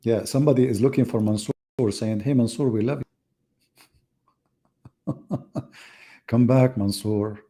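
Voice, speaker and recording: A man's voice: mid-range voice, fairly even intonation, unhurried, long pauses, dark tone. A man mocking his opponents in a casual livestream, sarcastic and taunting, speaking English with a non-native accent. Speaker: online religious debater.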